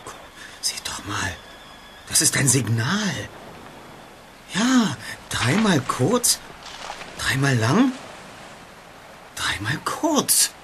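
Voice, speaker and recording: An actor playing a self-assured, resolute young detective leader in a German audio drama, articulate and formal. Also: male voice, mid-range voice, huge pitch swings, extremely slow, long pauses, background noise, full character voice. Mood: urgent.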